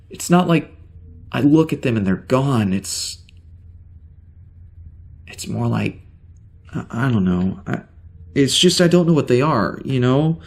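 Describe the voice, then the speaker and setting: An actor playing a bewildered, weary spaceship security officer with amnesia in an audio drama, speaking in a halting, stammering way. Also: male voice, mid-range voice, a little mellow, full character voice. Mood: uneasy, frustrated. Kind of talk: audio drama log monologue.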